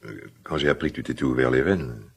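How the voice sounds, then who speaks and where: An actor playing a middle-aged father in a radio drama, guilt-ridden and awkwardly tender, speaking hesitantly in a gravelly smoker's voice. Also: extremely low pitch, exaggerated voice. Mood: pained, tender. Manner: hesitant, halting, confiding. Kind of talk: naturalistic French radio drama dialogue.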